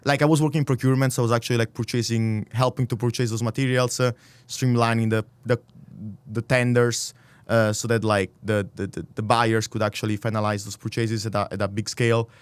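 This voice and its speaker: A man in his thirties with an Italian accent, a native Italian speaker, talking as a podcast guest in earnest, halting, restarted phrases. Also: mid-range voice, little pitch movement, clear, resonant tone, no echo. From Italy. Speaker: podcast guest, startup accelerator director. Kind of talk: casual interview conversation.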